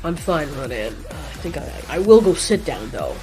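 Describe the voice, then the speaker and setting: A woman's voice: sits low, very animated, normal speed, a little mellow, voice pushed down. Party-animal male kaiju played by a woman for audio drama: drunk, cocky, crude, flippant, over faint music. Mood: dazed.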